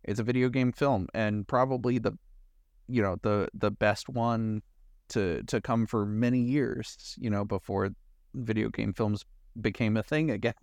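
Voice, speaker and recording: Lower-voiced male podcast co-host. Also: fairly even intonation, measured pace, a little mellow, no room sound, studio quality. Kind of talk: casual movie review chat.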